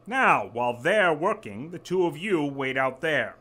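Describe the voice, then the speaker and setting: A man's voice: a little high, sing-song, measured pace, bright, forceful tone, full character voice. A man playing a condescending, officious corporate executive in an audio drama, haughty and bossy.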